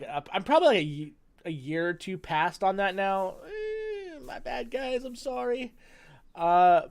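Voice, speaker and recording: A young man talking casually into a phone in a home vlog, chatty and excitable, rambling and restarting as he goes. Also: fairly high pitch. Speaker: gaming vlogger. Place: home room with laundry machines running next door. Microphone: phone mic.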